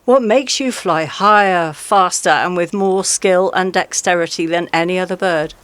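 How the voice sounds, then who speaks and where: An actor playing a cunning female fossa in a radio play, talking in drawn-out, honeyed flattery. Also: mid-range voice, clear, resonant tone, no room sound, lightly acted. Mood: sly, coaxing.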